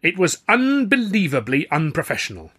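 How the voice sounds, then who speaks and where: A vain male comedy star, as a male narrator plays him, pitched high and forceful. He blusters and splutters with indignant self-importance, as in a storybook. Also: huge pitch swings, normal speed, slightly rough, full character voice. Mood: furious, spluttering.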